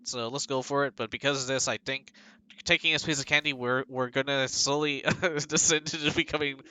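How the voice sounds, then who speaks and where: A man's voice: medium pitch, fairly even intonation, fairly quick, pushed and bright, smooth. A male streamer reading a game's text aloud and breaking off for joking, rambling asides.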